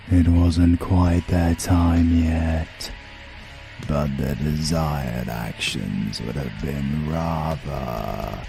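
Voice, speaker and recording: A man voicing an ominous, courtly and godlike dark magic user in an audio roleplay, speaking formally with menacing understatement. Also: very deep voice, vocal fry, pitched below the performer's own voice, exaggerated voice.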